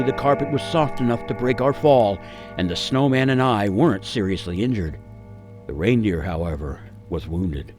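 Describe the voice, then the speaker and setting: A man narrating a scripted radio story in a theatrical, suspenseful, dramatic way. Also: mid-range voice.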